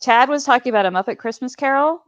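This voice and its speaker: A woman's voice: high voice, quick pace, no room sound, highs cut off. A woman giving her opinions casually as a panelist, earnest and emphatic.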